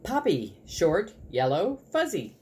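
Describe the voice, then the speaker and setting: Woman as a slow, wry old working dog. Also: fairly low pitch, very animated, a little mellow, a little hiss or hum, pitched above the performer's own voice. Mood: clipped roll call. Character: retired search-and-rescue dog, weary, wry narrator.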